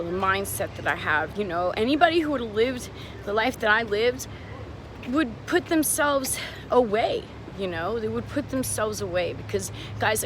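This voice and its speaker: A woman vlogging from her phone camera as she walks outdoors, talking to her viewers in an emotional, frustrated way and swearing often. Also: medium pitch. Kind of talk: walking street vlog talking to camera. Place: outdoors on a city street. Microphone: handheld phone camera. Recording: noisy outdoor street recording. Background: traffic and street noise.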